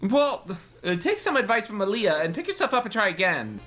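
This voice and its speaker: A woman's voice: mid-range voice, sing-song, fairly quick, a bit bright, faint music behind. A woman giving casual, slangy reaction commentary with a sarcastic, joking manner. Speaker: host of a film reaction show.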